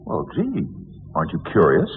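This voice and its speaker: An actor playing a playful, pushy boyfriend of twenty-two in an old radio drama. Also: male voice, low-pitched, wildly swooping pitch, moderate pace. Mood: cajoling. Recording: muffled vintage radio recording, long reverb.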